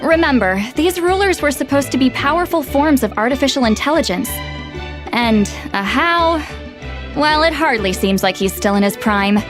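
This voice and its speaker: A haughty young female scholar from a video game. Her voice sits fairly high and she lays out her knowledge with crisp, articulate confidence. Music plays behind her. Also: lively intonation, moderate pace. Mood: confident, explanatory. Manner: articulate, self-assured, explanatory. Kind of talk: video game dialogue, expository storytelling.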